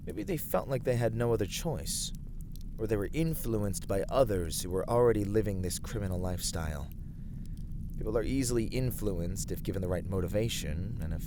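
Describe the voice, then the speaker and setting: A man's voice: fairly low pitch, background noise. A man playing an earnest, courteous fantasy adventurer in an ASMR roleplay, speaking in formal, thoughtful, slightly hesitant phrases.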